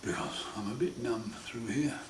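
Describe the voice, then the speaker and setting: A man with a croaky, hoarse voice after throat surgery, talking slowly and wryly in a casual home video diary. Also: medium pitch. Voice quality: hoarse, partly breathy. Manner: slow, wry, plain-spoken, often pausing. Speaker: patient sharing his recovery. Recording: roomy echo, far mic, noisy.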